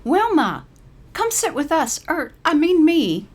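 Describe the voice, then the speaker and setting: Woman voicing a high, chipper old lady. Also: sing-song, slow, heavily acted. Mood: welcoming. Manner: bright, eager, friendly. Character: chipper, kind-hearted old woman, retirement home resident.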